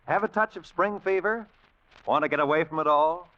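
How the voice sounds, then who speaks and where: A man's voice: fairly high pitch, a little fast, a bit bright. A man opening a programme in a dramatic, declamatory 1940s radio announcing style. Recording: dull vintage radio recording, faint noise.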